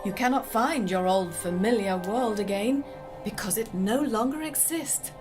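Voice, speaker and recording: A woman playing a wise, cryptic sorceress mentor in a theatrical drama, measured, poetic and instructive. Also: medium pitch, lightly acted. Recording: music under the voice.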